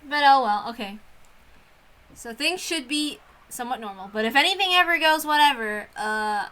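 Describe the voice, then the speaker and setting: A woman's voice: high voice, lively intonation, moderate pace, pushed and bright. A woman streamer chatting casually, rambling in an apologetic way and often interrupting herself. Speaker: streamer who reads visual novels aloud. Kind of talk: casual livestream chat.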